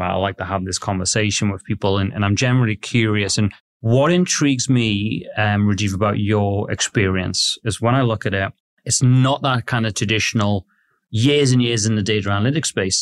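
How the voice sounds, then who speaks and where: A man hosting a podcast interview in a friendly, rambling way with lots of fillers. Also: fairly low pitch. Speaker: podcast host. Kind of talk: casual interview chat.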